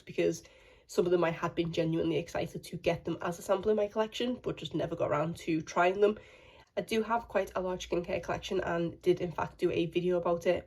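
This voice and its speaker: A woman beauty vlogger, croaky from a cold, chatting casually to a handheld phone. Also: on the low side, darkish tone. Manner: apologetic, chatty, rambling. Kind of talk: casual chat to camera. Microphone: phone held in hand.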